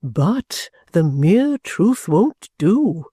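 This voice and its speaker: A reader voicing a kindly, vehement gentleman guardian in an audiobook, speaking with earnest, drawn-out emphasis. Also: medium pitch, very animated, slightly rough, dry recording, studio quality, heavily acted.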